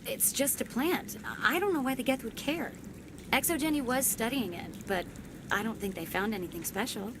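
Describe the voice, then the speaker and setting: A woman's voice: on the high side, normal speed, noisy background, natural voice. A woman playing an anxious young research assistant in a video game, earnest and hesitant in manner. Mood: uneasy, hesitant.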